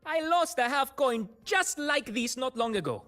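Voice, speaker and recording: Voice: high-pitched, lively intonation, normal speed, a bit bright. A voice actor playing a laid-back, chatty sailor in a video game, with game music behind. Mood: casual.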